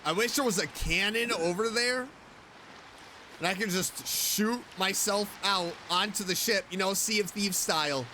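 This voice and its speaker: A man doing casual gaming commentary, chatting excitedly to himself and his game character. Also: sits high, measured pace, a little hiss or hum. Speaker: streamer, video game let's player. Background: game music.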